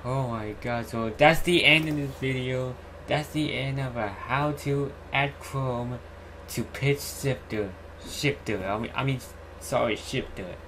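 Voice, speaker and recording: Young male YouTuber, unhurried halting delivery, repetitive filler phrases, casual tutorial, clear background noise with keyboard clicks. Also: medium pitch. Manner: halting, repetitive, says "alright" often. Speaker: YouTuber host. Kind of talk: casual screen-recorded tutorial. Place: indoor room at home. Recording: clearly noisy home recording, keyboard typing.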